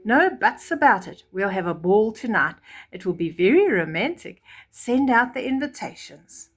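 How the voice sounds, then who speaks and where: A woman's voice: mid-range voice, sing-song, normal speed. A woman voicing an impatient, blustering king in a fairy tale, with a commanding, emphatic delivery. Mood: decisive, insistent.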